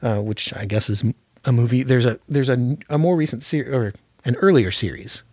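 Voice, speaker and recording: A man's voice: medium pitch, normal speed, a little mellow, no echo, clean studio sound. A man hosting a podcast in casual, conversational discussion. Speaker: podcast host.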